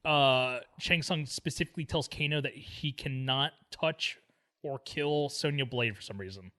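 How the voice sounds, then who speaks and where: Bright, punchy-voiced man chatting. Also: mid-range voice, moderate pace. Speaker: film podcast co-host. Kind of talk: casual film discussion.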